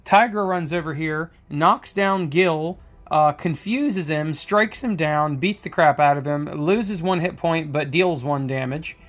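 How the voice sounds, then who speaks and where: A man getting over a cold, hoarse, narrating a tabletop wargame battle recap as its independent designer, with plenty of ums and uhs. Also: a little high, a little slow, few pauses, fairly bright tone. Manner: rambling play-by-play with many fillers.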